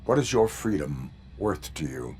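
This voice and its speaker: An actor playing a stern male cyborg police officer in a video game, speaking in clipped, monotone interrogations. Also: low voice, unhurried, slight background noise. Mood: cold. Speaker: cyborg cop protagonist.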